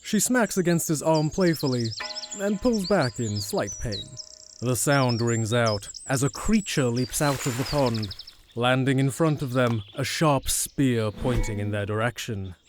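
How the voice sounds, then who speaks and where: A man's voice: medium pitch, expressive, measured pace, over music. Man narrating a fantasy audio drama.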